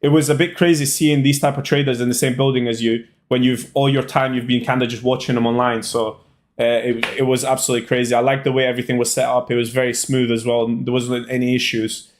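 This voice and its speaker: A man's voice: mid-range voice, flat delivery, quick pace. A young man from Albania who moved to the UK as a child, answering casually as an interview guest in an informal, hesitant way. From Albania, moved to the UK at six. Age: young adult. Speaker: interview guest, student trader.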